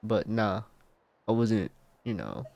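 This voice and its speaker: A man's voice: on the low side, lively intonation, slow pace, gravelly, a little mellow, no echo. A man streaming a game, a little sick and congested, bantering in laid-back slang and swearing casually. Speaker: gaming streamer. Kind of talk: casual gameplay commentary.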